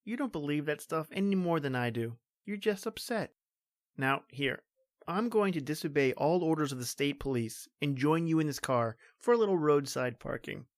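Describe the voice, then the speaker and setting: A man's voice: fairly high pitch, animated, moderate pace, close to an everyday voice. An actor playing a young state trooper reassuring his sweetheart, in tender old-time radio drama style.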